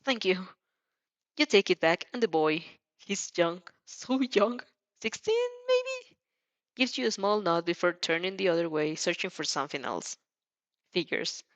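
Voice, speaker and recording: A woman narrating fan fiction in the second person, expressive and dramatic, now and then stumbling and rereading a line. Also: on the low side, unhurried, no room sound. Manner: expressive, stumbles over words and rereads lines. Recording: dull, muffled lo-fi sound.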